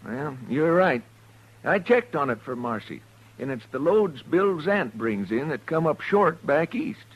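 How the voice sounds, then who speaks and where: An actor playing an earnest frontier depot worker with a rural American accent, folksy and plain-spoken, in an old radio western. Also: male voice, fairly high pitch, sing-song, unhurried, breathy edge, heavily acted. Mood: earnest, defensive. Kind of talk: 1950s radio western acting. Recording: muffled vintage radio recording.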